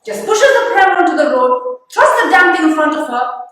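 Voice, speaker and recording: A woman's voice: high voice, sing-song, moderate pace, fairly bright tone. A young woman acting a bitter, tormented pedestrian in a dramatic theatrical monologue, intense and scornful. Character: bitter, judgmental, tormented pedestrian recounting a street incident. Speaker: student actor. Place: studio, live-streamed stage performance. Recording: very echoey studio livestream, distant mic.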